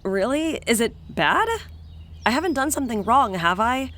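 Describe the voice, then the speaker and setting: An anxious, caring young woman, played by an actress in a horror audio drama. Her voice sits a little high, and she sounds earnest and uneasy. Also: lively intonation, normal speed, a bit bright. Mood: worried, caring.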